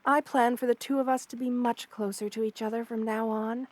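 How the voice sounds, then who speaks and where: A woman voicing a kind, protective spider queen in an ASMR roleplay, speaking intimately and soothingly to the listener with hesitant pauses and formal wording. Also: fairly high pitch, steady tone, dry recording, close to an everyday voice. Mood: gentle, apologetic.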